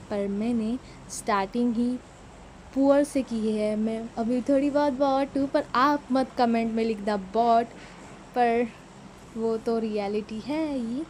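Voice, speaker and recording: A woman's voice: a little high, warm tone, a little hiss or hum, rough recording. Chatty Marathi woman with Mumbai Hindi. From Maharashtra, India. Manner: chatty, self-deprecating, mixes Hindi and English. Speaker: mobile gaming YouTuber. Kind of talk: casual voice-over commentary on her gameplay. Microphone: phone mic.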